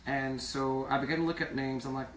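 Hoarse man chatting on a live stream. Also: mid-range voice, steady tone, fairly quick, a bit bright, slight background noise. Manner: chatty, rambling, reads viewer comments aloud. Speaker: singing duo member hosting a live stream. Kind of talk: casual live-stream chat. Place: small indoor room. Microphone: phone camera at a distance. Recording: echoey room, from a distance.

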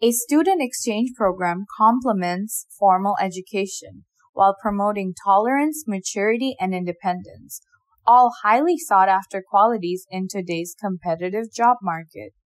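A woman giving a scripted, formal read-aloud as a model reader, with measured, careful phrasing. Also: mid-range voice, no room sound. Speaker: sample reader for English test practice.

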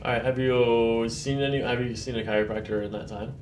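A man's voice: mid-range voice, fairly even intonation, fairly quick, a little mellow, slight background noise. A man in his thirties, a chiropractor, asking casual clinical intake questions. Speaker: chiropractor interviewing patients. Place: small carpeted treatment room. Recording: roomy small-office sound.

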